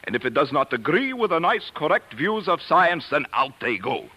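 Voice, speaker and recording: Stern old professor, swooping oratorical delivery. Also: male voice, a little high, very animated, moderate pace, pushed and bright, slightly rough, heavily acted, low-quality recording. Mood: pleased, intense. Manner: pedantic, formal, oratorical. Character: stern, elderly male science professor and mentor. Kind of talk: 1940s radio acting. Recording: vintage 1940s radio broadcast.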